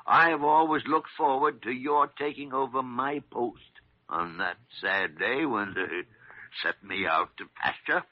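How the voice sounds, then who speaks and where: An actor playing a crafty, meddling old senior doctor in a vintage radio drama, folksy and theatrical, with a hesitant, wheedling delivery. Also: male voice, medium pitch, unhurried, no room sound, close to an everyday voice. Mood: flattering. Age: older. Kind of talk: 1940s radio drama acting. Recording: muffled vintage radio recording.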